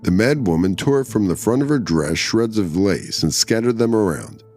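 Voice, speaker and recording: Male, deep pitch, story podcast host, narrator and commentator. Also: very animated, moderate pace, a little mellow, soft background music.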